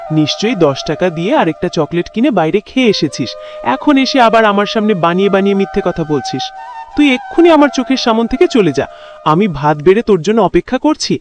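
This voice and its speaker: A woman's voice: mid-range voice, close to an everyday voice. A woman voicing a caring but nagging, exasperated village mother in a cartoon. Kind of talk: cartoon voice acting. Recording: music behind the voice.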